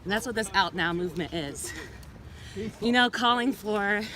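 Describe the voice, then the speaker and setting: A woman's voice: mid-range voice, moderate pace, sharp tone, low-quality recording. A woman activist speaking off the cuff in an interview. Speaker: protest activist. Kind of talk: spontaneous interview.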